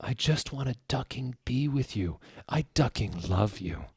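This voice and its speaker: A man's voice: medium pitch, lively intonation, a little slow, darkish tone, slightly rough, dry recording, clean studio sound, pitched above the performer's own voice, heavily acted. A man voicing a lovesick teenage goth boyfriend, pleading and dramatic, in a fan fiction reading. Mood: desperate declaring. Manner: emotional, pleading.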